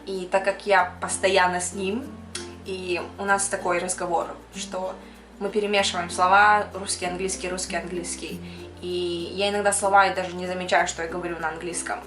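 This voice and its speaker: A woman's voice: fairly low pitch, little pitch movement, normal speed, a bit bright, roomy sound, faint music behind. A young woman from Kyrgyzstan who works as a makeup artist, chatting casually to camera and answering viewers' questions, sprinkling in English words and laughing now and then.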